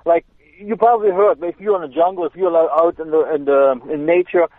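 A man's voice: on the high side, a little fast, no echo. A man with a German accent, a self-defense instructor, explaining in casual chat with hesitant restarts. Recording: tinny phone line.

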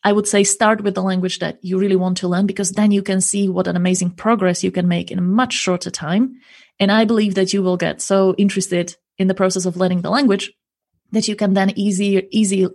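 A woman's voice: medium pitch, fairly even intonation, a little fast, vocal fry, darkish tone, breathy edge. A woman working as a language coach and interpreter, answering interview questions in an earnest, explanatory way, often ending points with a tag question.

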